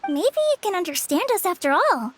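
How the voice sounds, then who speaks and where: A woman voicing a tiny, chatty floating fairy companion in a game, with a high, squeaky voice and a curious, questioning manner. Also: sing-song, brisk. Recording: game audio with music beneath.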